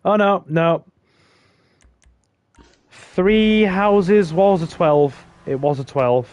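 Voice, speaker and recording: A man's voice: sits high, drawn-out words, a little mellow, dry recording, highs cut off. A man hosting a casual gaming stream, chatting with a friend in a rambling way with fillers. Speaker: streamer host.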